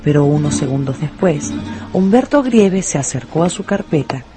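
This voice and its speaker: A man's voice: on the high side, animated, moderate pace. A man narrating a scripted story dramatically for radio. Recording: music behind the voice.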